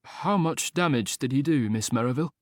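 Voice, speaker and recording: A man reading a calm, capable young secretary who speaks politely and composedly, in an audiobook. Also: mid-range voice, brisk, no room sound, clean studio sound, natural voice.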